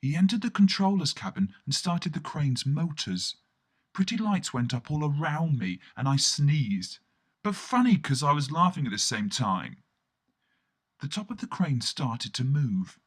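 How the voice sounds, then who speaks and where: A man narrates a surreal scripted radio monologue in the first person. His voice sits a little high and swings widely in pitch. The delivery is theatrical, breaking into colloquial asides and exclamations. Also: very animated, unhurried, slightly rough.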